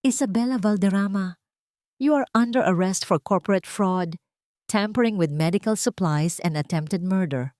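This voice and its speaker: A woman's voice: mid-range voice, normal speed, a little mellow, dry recording, clean studio sound, close to an everyday voice. Woman playing a stern Filipino police officer. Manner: formal, authoritative. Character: stern police officer making a formal arrest.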